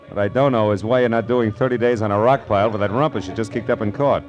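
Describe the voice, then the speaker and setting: Actor as a low-voiced wry American private eye. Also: male voice, brisk, highs cut off, faint music behind, close to an everyday voice. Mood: wry, bantering. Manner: wry, slangy, clipped. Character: wry, flirtatious hard-boiled male private detective. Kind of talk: 1950s radio noir acting and first-person narration.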